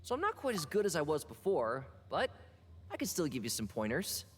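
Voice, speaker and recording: Wry, swooping older sibling figure. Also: female voice, low voice, wildly swooping pitch, brisk, a little hiss or hum. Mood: knowing, wry. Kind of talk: video game voice acting. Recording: reverberant, faint game music behind.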